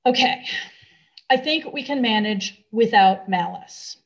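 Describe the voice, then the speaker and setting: A woman with an American accent giving a sermon to a congregation in measured, deliberate phrases with pauses. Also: fairly high pitch, lively intonation, rough recording.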